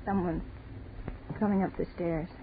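An actress playing a terrified young woman in 1940s radio horror style, halting and pleading as she repeats herself in desperation. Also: fairly low pitch, fairly even intonation, close to an everyday voice. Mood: hushed, afraid. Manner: halting, repetitive, pleading speech. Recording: scratchy, tinny 1940s radio broadcast.

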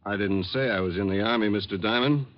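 A man's voice: lowish voice, fast talker, natural voice. A man playing a polite, guarded young college student and veteran in an old radio drama. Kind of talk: 1950s radio drama acting. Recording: muffled, hissy old radio recording.

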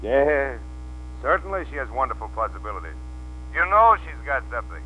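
A man's voice: mid-range voice, very animated, fairly quick, pushed and bright. An actor playing an exasperated, fatherly male film studio boss in a 1940s radio drama. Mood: weary, skeptical. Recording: old 1940s radio, tinny with hum.

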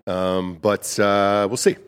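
A man hosting a casual sports talk show, hoarse from being sick, laid-back and swearing freely. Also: on the low side, little pitch movement, takes it slowly. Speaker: podcast host. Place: studio in Austin.

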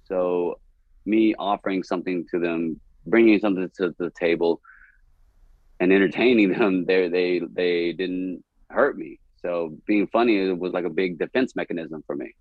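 Low-voiced man, dry and muffled. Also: moderate pace, no echo. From East Dallas, Texas. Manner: animated, rambling, laughs often. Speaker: comedian guest. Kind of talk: candid podcast conversation. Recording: dull, dry podcast audio.

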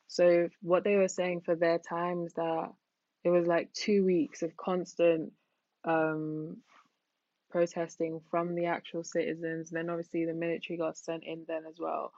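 A woman's voice: fairly low pitch, no echo, lo-fi sound. A young woman from Nigeria co-hosting a podcast, chatting casually and animatedly with many "like"s. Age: young adult. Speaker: podcast co-host. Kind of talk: casual chat. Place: London.

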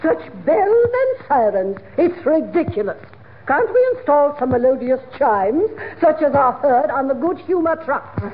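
A haughty, fussy society matron in an old radio comedy. Her voice is high and swooping, with very formal manners. It comes through a tinny vintage broadcast. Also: sing-song, unhurried. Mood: haughty protest. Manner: pompous, fussy, formal wording. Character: pompous, easily ruffled high-society lady. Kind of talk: 1940s radio comedy acting.

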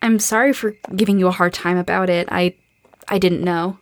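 A young woman playing a tough, grieving and determined survivor in an audio drama, speaking earnestly and quietly. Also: on the low side, fairly even intonation, normal speed, dry recording, natural voice. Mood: sympathetic. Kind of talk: naturalistic audio drama acting.

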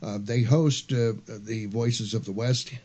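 A man hosting a radio show in a studio, casual and halting, with many fillers. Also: lowish voice, fairly even intonation, warm tone.

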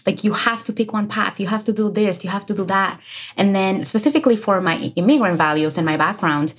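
An energetic woman in her thirties from the Dominican Republic, speaking with a Dominican Spanish accent as a guest on an interview podcast, who laughs often. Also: medium pitch, fairly quick, husky. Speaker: guest, career coach. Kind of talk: casual interview chat.

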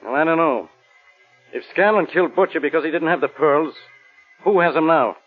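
An American actor playing an exasperated, easily flustered police inspector in a 1940s radio drama. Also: male voice, on the high side, fairly quick, husky, soft background music. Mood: suspicious, blustering. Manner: blustering, incredulous, sputtering. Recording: muffled vintage radio recording.

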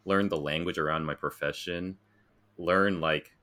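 An American man with an extremely low voice that still has a bright, forward edge. He talks casually, like a guest telling his own story, informal and a little self-deprecating. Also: steady tone, unhurried. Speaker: interview guest, graphic designer.

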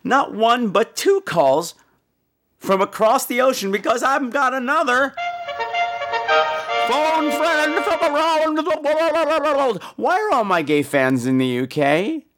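Campy, animated American man with a cold. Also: very high voice, slow, faint music behind. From United States. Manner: campy, bawdy, animated delivery. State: sick with a cold, phlegmy. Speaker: podcast host. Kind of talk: casual, rambling comic monologue.

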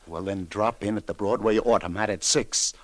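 A man's voice: fairly low pitch, steady tone, moderate pace, close to an everyday voice. An American man playing a kindly, coaxing New York police lieutenant in a vintage radio drama, casual and slangy. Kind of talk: late 1940s to 1950s radio drama acting.

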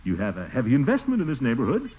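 An actor playing a pompous, fussy middle-aged busybody with a blustering manner, in 1940s radio comedy style. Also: male voice, medium pitch, huge pitch swings, racing, husky, heavily acted. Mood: ingratiating. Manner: blustering, indignant, prone to nervous chuckles. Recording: tinny, noisy 1940s radio recording.

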